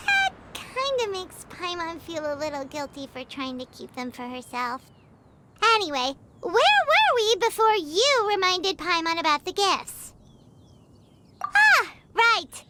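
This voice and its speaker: A woman voicing a tiny, excitable female fairy guide in a game who refers to herself by name and talks in a bouncy, eager way. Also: very high-pitched, slow pace, heavy background noise, full character voice. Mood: sheepish. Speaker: companion character in a game. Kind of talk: game voice acting. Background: game music.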